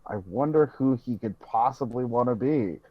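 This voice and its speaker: A man's voice: medium pitch, normal speed, no room sound. A man co-hosting a podcast, joking and teasing in casual banter. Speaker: podcast co-host. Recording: dull lo-fi mic, noisy.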